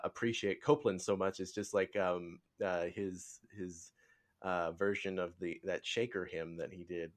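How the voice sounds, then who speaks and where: A man with a fairly low voice hosts a casual podcast interview. He feels his way through sentences in a halting, rambling and tentative manner.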